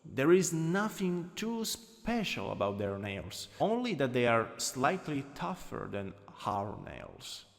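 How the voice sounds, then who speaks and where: A man with an Italian accent voicing a teasing, lowkey dominant anatomy lecturer in an ASMR roleplay. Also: sing-song, slow pace, few pauses. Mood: instructive, playful. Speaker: ASMR voice actor. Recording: long reverberant hall echo.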